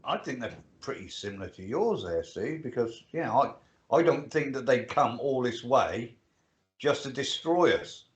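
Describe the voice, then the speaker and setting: A man's voice: mid-range voice, expressive, a little slow, highs cut off, slight background noise. A man in his fifties co-hosting a casual livestream chat, plain-spoken and casually swearing. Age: 50s. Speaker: livestream co-host.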